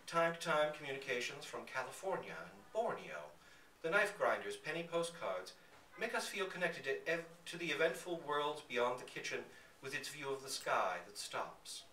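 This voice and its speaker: A man giving an expressive, theatrical reading of a literary story aloud. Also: fairly high pitch, moderate pace. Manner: theatrical, dramatic emphasis. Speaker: reader at a live literary reading. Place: indoor public reading in a bookstore. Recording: roomy, distant mic, faint background noise.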